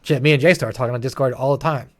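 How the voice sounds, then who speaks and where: A man's voice: mid-range voice, very fast, fairly bright tone, no echo, clean studio sound. A man co-hosting a gaming show in casual chat, joking, swearing and mimicking imagined speakers as he goes. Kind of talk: casual chat about video games.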